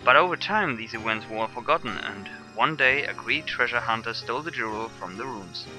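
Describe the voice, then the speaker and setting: Bright-voiced German man narrating gameplay. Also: mid-range voice, expressive, brisk, soft background music, low-quality recording. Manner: rambling, hesitant with many fillers. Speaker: let's player. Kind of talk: casual gameplay commentary.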